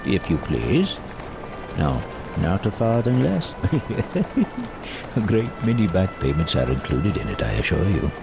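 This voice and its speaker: An actor playing an elderly reformed miser, giddy, generous and exuberant, in a theatrical audio drama. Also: male voice, low voice, huge pitch swings, slow, vocal fry, over music, exaggerated voice. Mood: effusive, warm. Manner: exuberant, chuckling, mock-stern at times.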